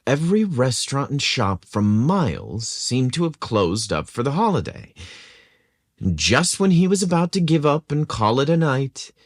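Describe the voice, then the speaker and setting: A man's voice: medium pitch, sing-song, moderate pace, no echo, clean studio sound. A man hosting a podcast and narrating a scripted story in a teasing, suggestive style.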